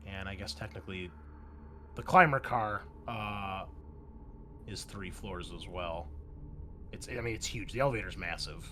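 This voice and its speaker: A man with a fairly low voice runs a tabletop game as its game master. He narrates casually and speaks informally, swearing now and then. The recording has clear noise in the background. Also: a little slow, long pauses, sharp tone. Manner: informal, profane.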